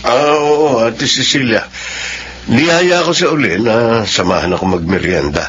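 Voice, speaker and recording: An actor playing a kindly, soft-hearted office boss in a Filipino radio drama. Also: male voice, medium pitch, unhurried, lightly acted. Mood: fond. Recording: dull, faintly noisy radio drama recording.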